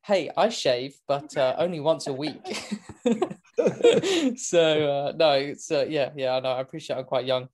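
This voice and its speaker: A British man in his twenties, a motorcycle racing commentator chatting as a podcast guest, self-deprecating and quick to laugh. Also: a little high, lively intonation, darkish tone, slight background noise. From England. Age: 20s. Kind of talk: casual podcast interview. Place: home, remote call.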